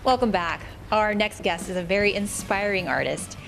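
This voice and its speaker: A woman's voice: mid-range voice, normal speed, gravelly, fairly bright tone, soft background music. Woman hosting an interview. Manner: friendly, laughs often. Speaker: interview host. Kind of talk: casual interview.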